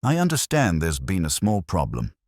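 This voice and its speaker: A gentle police inspector, voiced by a male narrator with an extremely low pitch. He is patient, courteous and neutral, a storybook detective heard close and dry. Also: huge pitch swings, moderate pace, dry recording.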